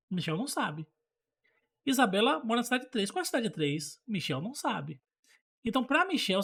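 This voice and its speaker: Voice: medium pitch, fairly bright tone. A Brazilian teacher giving an informal programming lesson, explaining conversationally with examples and checking that learners follow. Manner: conversational, checks understanding, acts out example dialogue.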